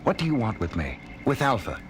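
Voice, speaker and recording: An actor playing a firm, suspicious space base commander in an audio drama, with an urgent, questioning manner. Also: male voice, medium pitch, sing-song, brisk, noisy background, natural voice. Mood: tense, defiant. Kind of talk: 1970s audio drama acting. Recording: music under voice.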